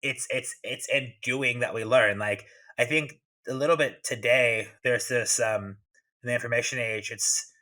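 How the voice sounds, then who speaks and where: A man's voice: medium pitch, steady tone, normal speed, pushed and bright. A man in his thirties hosting a podcast interview, talking loosely and casually and asking long, rambling questions. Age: 30s. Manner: chatty, loose, asks long multi-part questions. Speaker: podcast host.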